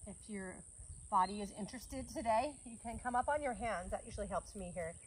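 A woman in her thirties guiding a yoga class outdoors on a farm field, giving calm, encouraging cues between breaths. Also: mid-range voice, brisk. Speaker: yoga teacher. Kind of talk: guided yoga class instruction. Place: outdoors on a grassy farm field under trees. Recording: faint outdoor noise, crickets. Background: faint crickets and country outdoor sounds.

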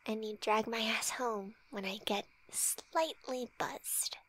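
A woman playing a flirty, shy, teasing best friend in an intimate ASMR roleplay, with breathy, hesitant, soft speech. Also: on the high side, slow pace, poor audio. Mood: wistful, hesitant. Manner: breathy whispering, hesitant, giggly.

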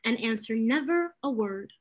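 A woman's voice: on the high side, expressive, moderate pace. A woman as a proud, witty lady. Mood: cool, mocking. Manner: cool, pointed, theatrical. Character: proud witty disdainful young lady, sharp-tongued. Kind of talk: period stage comedy acting. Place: indoors at home on video call.

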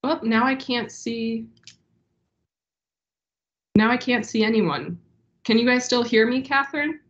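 A woman's voice: a little high, unhurried, dull audio. A young woman with a stuffy, congested voice giving formal testimony as a library director over a video call, hesitant with many ums. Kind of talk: formal testimony at an online meeting. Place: home room, indoors. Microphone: video call webcam.

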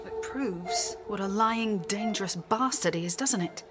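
A woman's voice: medium pitch, expressive, unhurried, loud music behind the voice, close to an everyday voice. A woman acting in a radio drama as a sceptical, protective friend with a dry, pointed way of talking. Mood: challenging, protective. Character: sceptical, protective, blunt-spoken female friend.

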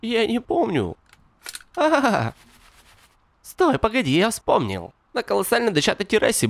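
A man's voice: high-pitched, creaky, a bit bright, dry recording, exaggerated voice. A man voicing a strung-out, shameless young fox in an adult cartoon.

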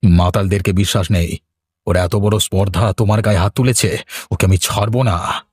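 A man's voice: low-pitched, fairly even intonation, moderate pace, darkish tone, husky. A man playing a scheming, threatening village villain in a horror cartoon, with theatrical delivery. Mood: vengeful. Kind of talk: Bengali horror cartoon dialogue.

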